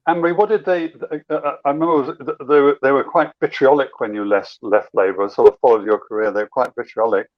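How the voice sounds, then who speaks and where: A man's voice: mid-range voice, steady tone, quick pace, few pauses, dry recording, highs cut off. A man co-hosting an interview, asking probing questions with stumbling restarts. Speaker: podcast co-host.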